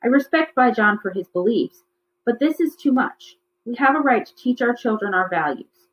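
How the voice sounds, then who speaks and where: A woman's voice: fairly high pitch, lively intonation, moderate pace, slight background noise, pitched above the performer's own voice, close to an everyday voice. A woman voicing an exasperated, protective mother in a storybook. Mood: indignant. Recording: slightly dull, lo-fi recording.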